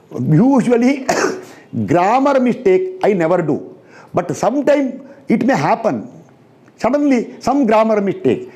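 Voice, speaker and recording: A man's voice: high voice, mellow. A man from India with an Indian English accent, teaching engineering in a blunt, repetitive lecture style that drills students with rhetorical questions. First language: not English. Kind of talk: recorded classroom lecture.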